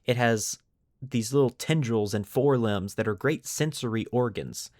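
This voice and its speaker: A man's voice: mid-range voice, normal speed, a little mellow, no room sound, clean studio sound. A man hosting a podcast, explaining lore to friends in an informal, enthusiastic way. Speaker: podcast host.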